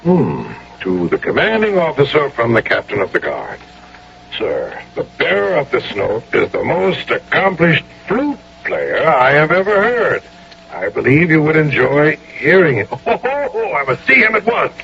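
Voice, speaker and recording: Blustering military colonel, wildly varied pitch, gravelly, condescending; muffled vintage radio sound. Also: male voice, wildly swooping pitch, measured pace, fairly bright tone, exaggerated voice. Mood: delighted. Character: pompous, overconfident Hessian colonel, mature man. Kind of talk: radio drama acting. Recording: muffled radio recording with hum.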